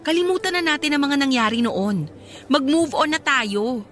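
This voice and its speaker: An actress playing an insistent, scheming bride who coaxes and pleads, in an emotional radio drama style. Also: female voice, sits high, expressive, moderate pace, fairly bright tone. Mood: pleading. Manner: coaxing, wheedling persistence. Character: insistent, scheming bride, pleading with her resentful half-sister.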